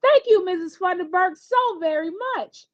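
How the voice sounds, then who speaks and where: A woman's voice: extremely high pitch, expressive, normal speed, dry recording, highs cut off, pitched above the performer's own voice. A woman voicing a sweet, anxious schoolgirl in a storybook, with a nervous, earnest way of talking. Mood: excited, grateful. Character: anxious, sweet schoolgirl who is easily upset.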